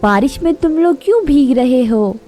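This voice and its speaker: A woman's voice: sits high, lively intonation, moderate pace, dark tone, pitched above the performer's own voice. A woman voicing a kind, helpful young female bird with a concerned, caring manner in a children's cartoon story. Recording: music behind the voice.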